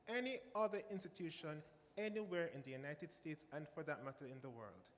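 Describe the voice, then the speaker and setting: A man's voice: on the high side, fairly quick, very noisy. A man with a Jamaican accent, a music professor speaking on a panel, emphatic and given to repeating phrases for stress. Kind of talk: panel remarks. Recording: reverberant hall with faint ambience.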